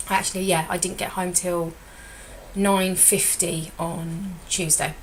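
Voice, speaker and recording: Chatty woman with a croaky voice. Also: fairly low pitch, level tone, slow, a little hiss or hum, low-quality recording. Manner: chatty and rambling, self-correcting. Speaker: weight loss consultant. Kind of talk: casual vlog update.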